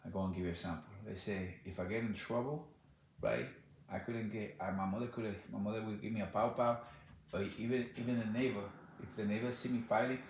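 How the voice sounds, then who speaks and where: Dominican-accented man, low and halting. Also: steady tone, echoey room, a little hiss or hum, rough recording. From Dominican Republic. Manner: halting, repeats words, many "like" and "you know". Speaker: interviewee. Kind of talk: casual interview answers.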